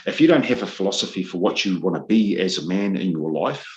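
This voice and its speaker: Casting: middle-aged New Zealand man, very low voice, earnest mentor tone, halting with fillers. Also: animated, muffled recording. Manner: earnest, searching for words with many ums and uhs. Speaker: guest, men's leadership mentor. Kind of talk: podcast interview.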